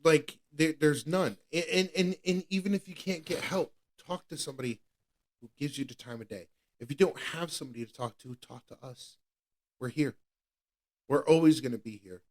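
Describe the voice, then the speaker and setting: A man's voice: on the high side, normal speed. Earnest man in his thirties. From New England. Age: 30s. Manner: earnest, repetitive, stumbling restarts. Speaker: podcast co-host. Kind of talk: candid personal testimony.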